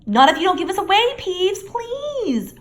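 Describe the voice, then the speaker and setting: A woman voicing a frightened schoolboy who pants and speaks in hushes. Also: very high-pitched, big pitch swings, normal speed, voice pushed up. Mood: breathless. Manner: panting, hushed. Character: breathless frightened schoolboy hero.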